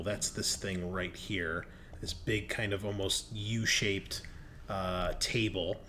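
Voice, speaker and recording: A man improvising narration as game master of a horror tabletop game, halting with drawn-out pauses as he describes scenes. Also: mid-range voice, a little hiss or hum.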